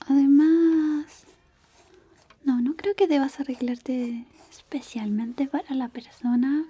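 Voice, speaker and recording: A woman doing a soft-spoken, half-whispered ASMR roleplay as a shy, caring high school classmate, gentle and hesitant. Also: steady tone, takes it slowly. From Chile.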